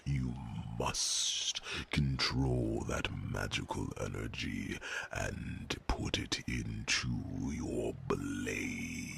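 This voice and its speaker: A man playing a huge, gentle old dragon mentor in an ASMR fantasy roleplay, speaking in a slow, gravelly, breathy rumble with thoughtful hums. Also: exaggerated voice.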